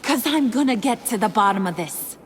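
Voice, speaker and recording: Woman playing a shrill, theatrical gangster villainess in reverberant hall. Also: animated, brisk, lightly acted. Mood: threatening. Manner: taunting, theatrical, slangy. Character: theatrical, menacing female villain gang boss, gleefully cruel. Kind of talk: animated game villain acting. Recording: long reverb, background noise.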